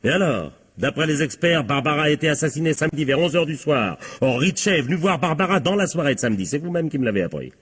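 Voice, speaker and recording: An actor playing a sardonic police captain in a French radio crime drama, husky and colloquial, prodding with short tag questions. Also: male voice, a little high, lively intonation, fast, hardly any pauses, a bit bright. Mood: forceful, accusing. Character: male police captain, insistent, sardonic interrogator.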